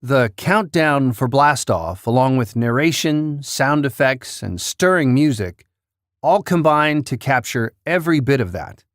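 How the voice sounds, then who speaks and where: A man's voice: medium pitch, expressive, a little slow, no room sound, studio quality. A man reading scripted narration as the host of a countdown list.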